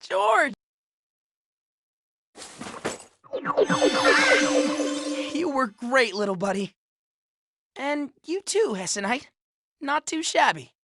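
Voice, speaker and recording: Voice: high voice, big pitch swings, measured pace, gravelly, fairly bright tone, exaggerated voice. An actor playing a kind, eager young boy hero in a cartoon game, earnest and encouraging. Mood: relieved, friendly. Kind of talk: animated game voice acting.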